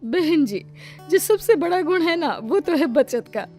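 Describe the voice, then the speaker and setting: A woman voicing a boastful middle-aged mother in an animated moral story, proud and emphatic. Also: high voice, a little slow, soft background music.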